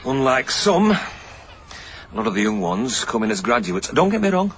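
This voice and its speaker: An actor playing a big, bluff, old-school middle-aged police superintendent in a radio drama, blunt and matey with a needling edge. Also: male voice, medium pitch, sing-song, moderate pace, fairly bright tone, slight background noise. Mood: gruffly opinionated.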